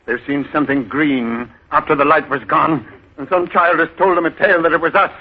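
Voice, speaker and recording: An actor in a 1930s radio drama playing a cunning male beggar leader who poses as a god, in a commanding, measured way. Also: on the high side, steady tone, lightly acted. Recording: muffled 1930s radio broadcast with music.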